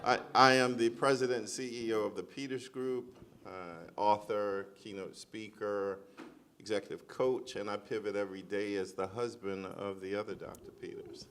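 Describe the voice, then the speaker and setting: A man's voice: on the low side, flat delivery, moderate pace, fairly bright tone, slight background noise. A man introducing himself on a panel, playful and self-assured. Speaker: panelist, executive coach.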